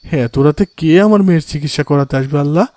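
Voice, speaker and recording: Guy acting devout village dad in a cartoon, soft mellow voice, emotional n pleading, dry close sound. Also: fairly high pitch, quick pace, no room sound, lightly acted. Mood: panicked, pleading. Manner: pleading, emotive, often invoking God. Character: anguished, devout village father of a motherless daughter.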